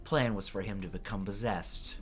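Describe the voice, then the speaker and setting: A man voicing a determined female investigator with an earnest, deliberate delivery. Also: lowish voice, over music, lightly acted.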